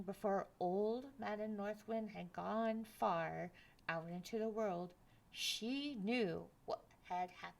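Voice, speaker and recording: Slow, gravelly-voiced woman reading to kids. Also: medium pitch. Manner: slow, expressive, with hushed whispers. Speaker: library story time reader. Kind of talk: reading a picture book aloud to children.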